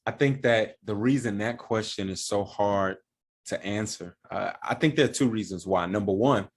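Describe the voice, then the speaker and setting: A man's voice: lowish voice, moderate pace, slightly rough. A man, a financial advisor and founder of his firm, explaining retirement planning step by step in plain words. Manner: plain-spoken, walks through examples step by step.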